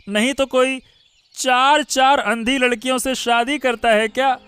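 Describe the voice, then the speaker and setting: A man's voice: very high-pitched, steady tone, bright, forceful tone, clear, resonant tone, no echo, heavily acted. A man voicing a sneering, meddlesome village minister in a Hindi cartoon moral story. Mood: mocking, taunting. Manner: taunting, drawn-out questioning tags. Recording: music bed under the voice.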